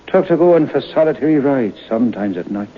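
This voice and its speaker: An actor playing a knowing folk-tale storyteller, narrating an old-time radio drama in an unhurried way. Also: male voice, medium pitch, animated, lightly acted. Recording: muffled, hissy old radio broadcast.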